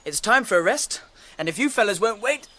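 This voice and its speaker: An actor with an English accent playing a cheeky, lazy young gentleman hobbit in a radio drama, breezy and languid. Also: high-pitched, lively intonation, fairly quick. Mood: defiant, weary.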